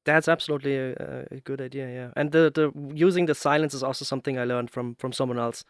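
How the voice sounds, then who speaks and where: A man's voice: mid-range voice, fairly even intonation, fast, smooth, no echo, clean studio sound. A man hosting a conversational interview, musing and repeating words as he thinks. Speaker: podcast host, interviewer.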